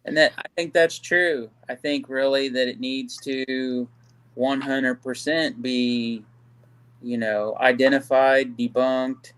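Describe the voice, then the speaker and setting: Halting man from Kansas. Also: medium pitch, fairly even intonation, a bit bright, smooth. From Kansas City, Kansas. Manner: halting, leans heavily on "you know". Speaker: guest. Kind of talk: casual live-stream discussion.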